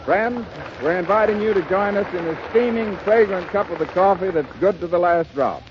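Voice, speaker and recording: A man's voice: sits high, normal speed, crowd noise. A man working as an announcer, delivering sponsor messages in 1930s radio style. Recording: noisy, muffled 1930s radio, audience.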